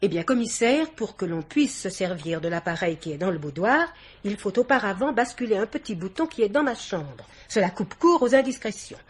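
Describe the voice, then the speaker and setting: An actress playing an assertive society hostess in a vintage French radio mystery, haughty, chatty and insistent. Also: female voice, medium pitch, expressive, fairly quick, slightly rough. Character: assertive society hostess, a young woman's aunt. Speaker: actor.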